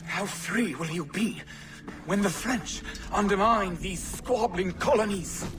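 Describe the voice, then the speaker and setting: A man's voice: high-pitched, takes it slowly, noisy background. A man playing a haughty, outraged and defiant villain in a dramatic video game scene.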